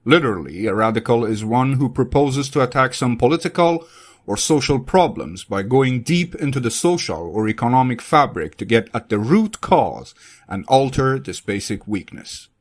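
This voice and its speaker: A man with a medium-pitched voice reads a prepared passage aloud. The delivery is scripted, like a model answer in a reading lesson. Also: expressive, moderate pace. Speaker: reader of practice passages.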